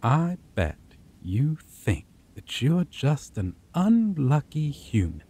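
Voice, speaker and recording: Man playing a possessive male vampire, menacing, low teasing delivery, whispered bits, lively pitch, ASMR roleplay audio. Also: slow pace, slightly rough, full character voice. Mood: taunting.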